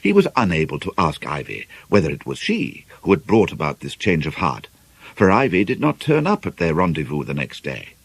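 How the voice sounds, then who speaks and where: A man narrating a novel as an audiobook, reading in a measured, expressive way with deliberate emphasis. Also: low voice, gravelly, breathy edge, low-quality recording.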